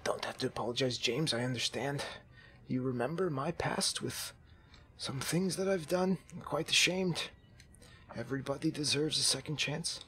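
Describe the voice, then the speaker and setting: Man playing halting, earnest Hansel, zombie survivor. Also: medium pitch, bright, forceful tone, close to an everyday voice. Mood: reassuring. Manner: halting, earnest, folksy, repeats himself. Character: Hansel, earnest, babbling, kindly male zombie apocalypse survivor. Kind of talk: improvised online game roleplay.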